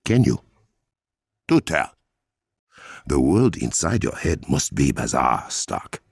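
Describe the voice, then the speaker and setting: An actor playing a dignified Wakandan warrior king in a video game, with an African accent, a gravelly husky voice and formal, measured, dryly witty phrasing. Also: very deep voice, very animated, dry recording, studio quality, exaggerated voice. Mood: dry, curious. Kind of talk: video game character dialogue.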